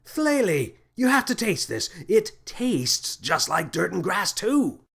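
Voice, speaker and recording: Wildly swooping, excitable space captain. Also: male voice, high voice, slow pace, creaky, husky, voice pushed up, heavily acted. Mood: childlike delight. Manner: theatrical, exclamatory, swinging from menace to delight. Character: mad, excitable, childishly gleeful male space captain. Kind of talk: children's space adventure audiobook.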